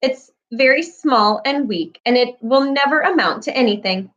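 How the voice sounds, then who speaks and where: Storybook farm mother, practical, brisk, unsentimental, done by a woman reading aloud. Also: high-pitched, lively intonation, a bit bright, lo-fi sound. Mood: matter-of-fact. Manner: practical, no-nonsense.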